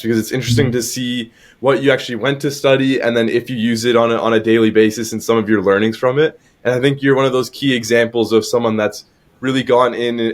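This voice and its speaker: A man hosting a podcast, asking casual questions and repeating words as he sets them up. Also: medium pitch. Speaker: podcast host.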